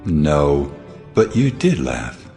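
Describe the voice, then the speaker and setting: A man playing God in a dramatized audio Bible, speaking in a grave, measured, authoritative voice. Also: very deep voice, big pitch swings, creaky, mellow, soft background music, exaggerated voice. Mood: firm. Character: God, solemn, commanding divine male voice. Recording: musical score behind the voice.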